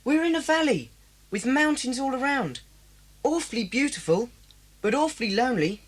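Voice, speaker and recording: A woman voicing an eager, curious schoolboy adventurer, polite and expressive, in a children's audio drama. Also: moderate pace. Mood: wary. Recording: noisy cassette, vehicle rumble.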